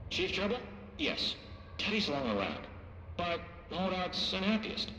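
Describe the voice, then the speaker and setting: An eccentric, childlike game creature, babbling broken nonsense in slow, swooping bursts. The voice rings through a long reverberant hall. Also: mid-range voice, sing-song, slight background noise. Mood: gleeful, babbling. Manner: disjointed word-salad exclamations. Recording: echoey long hall reverb, loud music.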